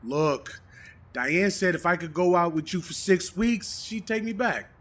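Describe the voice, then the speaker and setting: A man's voice: sits high, lively intonation, a little slow, a bit bright. A man acting a selfish, oblivious boyfriend in a comedic audio drama, repeating himself in a whiny, pleading way. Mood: pleading, indignant. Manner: stammering, repetitive, pleading. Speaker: voice actor in an amateur audio drama. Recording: very noisy, long reverb.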